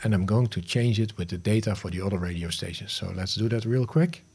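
A man in his fifties narrating a step-by-step software tutorial in short instructional phrases, recorded on a close studio mic. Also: fairly low pitch, fairly quick, husky, faint music behind. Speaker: host of smart home tutorial videos. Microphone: close studio mic on a boom arm.

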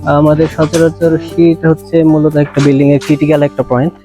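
Flat-toned Bangladeshi man explaining, higher-pitched voice. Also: fast talker. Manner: repetitive, hesitant explaining with frequent filler phrases. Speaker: civil engineer presenting a how-to video. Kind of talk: informal on-site instructional explanation. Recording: music running behind the voice.

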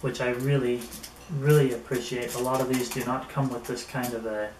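A middle-aged man giving a casual, unhurried gear review to camera, speaking in a mild, somewhat hesitant way. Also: mid-range voice, flat delivery, a little mellow. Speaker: product reviewer. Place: small indoor room at a table. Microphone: camera a few metres away. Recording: roomy, distant camera mic with noticeable noise.

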